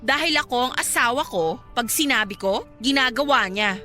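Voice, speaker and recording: A woman's voice: a little high, animated, moderate pace, pushed and bright. A woman playing a smug, cold-hearted young wife and scornful rival in a Filipino radio drama, dismissive and taunting, with a Filipino accent. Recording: dramatic music bed behind the voice.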